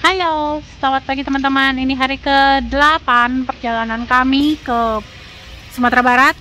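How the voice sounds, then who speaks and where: A woman's voice: sits high, fairly even intonation, normal speed, sharp tone, slight background noise. A woman chatting casually on location as a family travel vlogger, showing places to her viewers. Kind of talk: casual on-location vlog chat. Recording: music over the voice.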